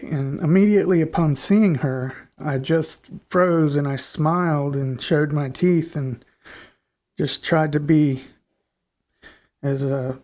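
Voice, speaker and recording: A man narrates listener stories with a stuffed-up, nasal sound from a head cold. His voice sits a little higher than most men's, and he reads at an even, unhurried pace with the odd small restart. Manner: steady, plain reading pace with small restarts. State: congested, nasal. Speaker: host reading listener stories. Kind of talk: storytelling narration.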